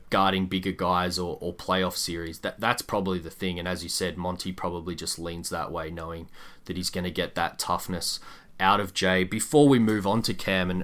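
A man's voice: deep voice, fairly bright tone. A man hosting a sports podcast, discussing basketball in a casual, conversational way.

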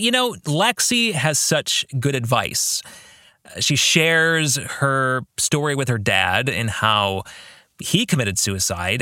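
A man hosting a reflective book podcast, speaking like a pastor in an earnest, emphatic manner with deliberate pauses. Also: medium pitch, sharp tone, no echo, studio quality. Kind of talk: reflective book discussion with read-out passages.

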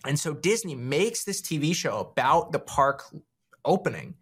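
A man's voice: fairly high pitch, very animated, moderate pace, husky. A man speaking as an interview guest in a reflective, storytelling style, earnest and emphatic, drawing out key words. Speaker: podcast guest, writer and founder.

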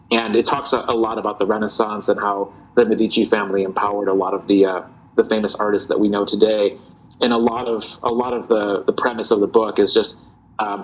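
A man, a guest in a casual interview, talking in a relaxed, reflective way with many fillers and restarts. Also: on the low side, poor audio. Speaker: guest, parody account writer.

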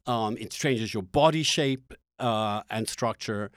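A man hosting a discussion, putting arguments and questions in a deliberate, halting way as he searches for words. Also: on the low side, a bit bright, dry recording, clean studio sound. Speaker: host interviewer.

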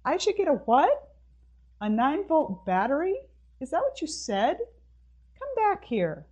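An exasperated, blunt woman in a storybook tale, played by the woman reading it. Her voice jumps up higher and louder, full of indignation. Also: wildly swooping pitch, unhurried, exaggerated voice. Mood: exasperated, calling out. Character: blunt, exasperated woman rejecting a delivered baby.

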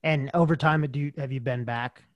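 Man, podcast host, relaxed, encouraging, brief acknowledgments, dry sound. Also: medium pitch, fairly quick, dry recording, dull audio. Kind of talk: casual interview conversation.

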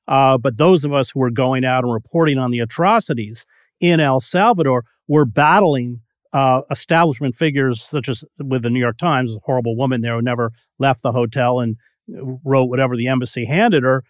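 A man's voice: medium pitch, fairly quick, no room sound, clean studio sound. A man hosting a podcast interview, speaking in blunt, emphatic, declarative statements. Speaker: podcast host. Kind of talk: interview conversation.

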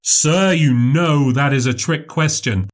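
A man's voice: fairly high pitch, slow, pitched above the performer's own voice. A man voicing a formal, eager memory showman who keeps calling people sir and madam. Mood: showy, polite. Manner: showy, overly polite, says "sir" and "madam".

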